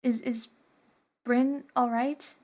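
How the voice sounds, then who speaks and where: High-voiced, hesitant woman playing a tabletop game. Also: level tone, slow pace, a little mellow. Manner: hesitant, earnest. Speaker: tabletop roleplay player. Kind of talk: casual in-character improvisation.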